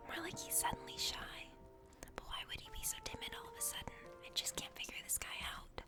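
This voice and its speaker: A woman narrating a game story as ASMR in a hushed, intimate whisper, close to the microphone. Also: a little fast. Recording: loud music under whispered voice.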